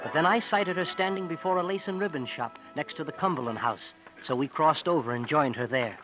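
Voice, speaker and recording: An actor as a high-voiced young storytelling sidekick. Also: male voice, a little fast, music in the background, natural voice. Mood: calm narration. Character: earnest, loyal young male sidekick telling the tale. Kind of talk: 1950s radio drama narration and dialogue. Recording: muffled 1950s radio broadcast.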